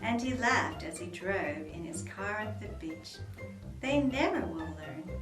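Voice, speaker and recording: Expressive woman reading a storybook. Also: mid-range voice, a little slow. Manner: expressive, dramatic read-aloud with whispers. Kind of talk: reading a children's book aloud. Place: room at home. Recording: roomy echo, mic at a distance, faint music.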